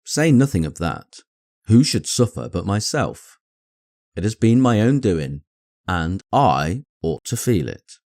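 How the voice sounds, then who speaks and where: A man voicing a dry, sardonic middle-aged English gentleman and father in an audiobook, with a wry, deadpan manner. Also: fairly low pitch, takes it slowly, no room sound, full character voice. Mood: rueful. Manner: deadpan, wry, mock-stern. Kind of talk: acted dialogue in audiobook reading.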